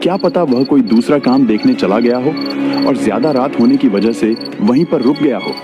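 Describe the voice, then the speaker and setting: A man's voice: medium pitch, moderate pace, muffled recording, over music, natural voice. A man playing a greedy, scheming village labourer in a moral story cartoon, sly and deceitful. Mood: falsely reassuring. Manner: sly, falsely reassuring.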